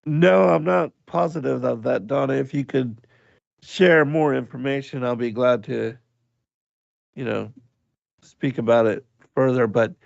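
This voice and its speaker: A man hosting a radio livestream, hoarse, with his voice going out, talking slowly and haltingly with many pauses while he reads from the chat. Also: medium pitch, no room sound, lo-fi sound. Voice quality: gravelly. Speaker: radio show host.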